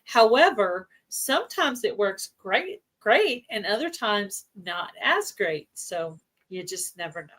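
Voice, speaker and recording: A woman's voice: mid-range voice, big pitch swings, takes it slowly, few pauses, fairly bright tone, rough recording. A married woman chatting casually on a livestream, friendly and agreeable. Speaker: livestream co-host. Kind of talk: casual chat.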